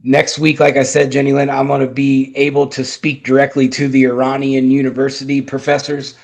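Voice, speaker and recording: A man's voice: mid-range voice, monotone, fairly quick, a little mellow. A man sharing blunt political opinions in a casual chat, emphatic and often restarting his sentences. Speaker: guest commentator.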